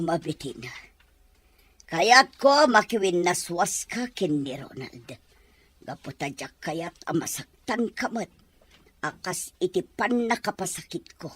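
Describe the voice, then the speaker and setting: A woman's voice: lowish voice, sing-song, a little slow, breathy edge, full character voice. A woman with a Filipino accent playing a stern, wounded middle-aged mother in an audio drama, speaking with reproach. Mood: wounded, insistent. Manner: emphatic, reproachful. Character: bitter, stern middle-aged mother, still hurt by lost love. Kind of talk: Ilocano audio drama acting.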